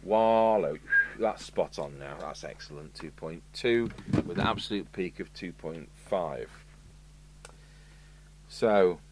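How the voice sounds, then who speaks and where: Low-voiced British man musing over workbench. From United Kingdom. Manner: matter-of-fact, musing, talks to himself while working. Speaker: radio repair technician presenting a review. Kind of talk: casual hands-on workshop commentary, thinking aloud. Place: indoor electronics workshop. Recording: clear background noise with electrical hum.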